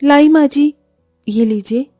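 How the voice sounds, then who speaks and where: A woman's voice: sits high, slow, mellow, no echo, clean studio sound, soft background music, voice pushed up. A woman voicing a meek, wronged young bride in a cartoon story, pleading and plaintive. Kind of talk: Hindi cartoon story voice acting.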